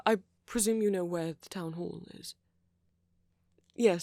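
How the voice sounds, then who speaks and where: A man playing a friendly, flirty vampire in an ASMR roleplay, speaking softly, politely and coyly. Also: sits high, gravelly, dry recording. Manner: soft-spoken, polite, coy, chuckling. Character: friendly flirty gentle male vampire, shyly hopeful.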